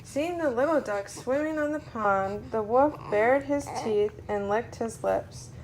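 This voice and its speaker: A woman's voice: on the high side, measured pace, a little mellow, low-quality recording. A woman at home at story time, unhurriedly reading a picture book aloud to a little one, with plenty of noise around her. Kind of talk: read-aloud story narration for a small child.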